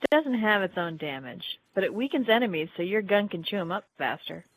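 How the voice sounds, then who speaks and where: A woman's voice: mid-range voice, animated, brisk, close to an everyday voice, low-quality recording. A woman playing an earnest, plucky young female alien in a sci-fi game audio drama, a little unsure of herself. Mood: indignant. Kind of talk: fan audio drama, game-story character acting.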